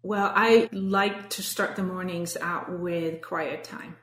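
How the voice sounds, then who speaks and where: A woman with a Danish accent speaks in a fairly low voice, earnest and reflective as she answers interview questions. The recording carries a noticeable roomy echo around her. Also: fairly even intonation, takes it slowly, slight background noise. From Denmark. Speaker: business coach, guest. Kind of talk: casual interview conversation.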